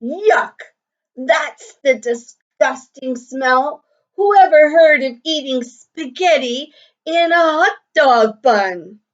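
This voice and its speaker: Woman putting on a high, sneering schoolboy. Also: expressive, slow, recorded from a distance, muffled recording. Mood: disgusted. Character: rude, sneering schoolboy in a picture book.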